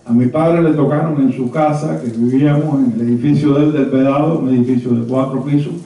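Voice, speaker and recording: An older Cuban man in his seventies or beyond reminisces before a small group in a conference room. His voice is very dark and muted, with a deliberate, halting storytelling delivery. It is picked up from a distance, swimming in heavy room echo. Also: medium pitch, fairly even intonation. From Havana, Cuba. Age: 70s or older. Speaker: conference speaker. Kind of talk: personal reminiscence told to a small audience. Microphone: handheld mic, recorded from a distant camera. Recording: very echoey, muffled room from afar.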